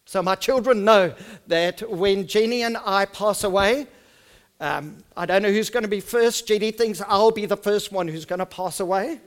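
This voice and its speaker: Afrikaans-accented man, middle-aged pastor, high tenor, earnest sermon, drops soft then rises loud. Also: moderate pace. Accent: South African Afrikaans. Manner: earnest, swings from soft and hushed to loud and emphatic. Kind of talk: sermon with personal storytelling.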